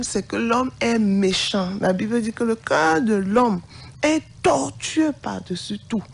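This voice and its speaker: Gravelly woman preaching with passion. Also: mid-range voice, a little mellow. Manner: impassioned, indignant preaching tone. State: has the flu. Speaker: co-host, pastor's wife.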